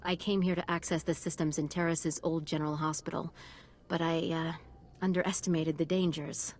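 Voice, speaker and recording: Game role, actress: devoted female doctor, solemn and earnest, on the lower side, noisy setting. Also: level tone, moderate pace, a little mellow, natural voice. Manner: grave, formal, earnest. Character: solemn, devoted woman doctor on a lifelong mission. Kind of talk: video game voice acting.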